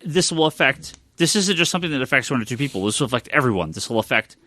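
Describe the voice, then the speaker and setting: A man's voice: mid-range voice, animated, racing, pushed and bright, no room sound. A man with an American accent in casual podcast discussion as a host, thinking aloud and repeating phrases as he goes. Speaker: podcast host, comic shop owner.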